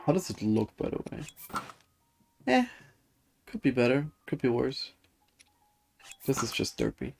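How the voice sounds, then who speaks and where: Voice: mid-range voice, sing-song, unhurried, creaky, long pauses, darkish tone. A streamer giving casual, dry and wry live commentary while playing a game and reading its dialogue aloud. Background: faint game music.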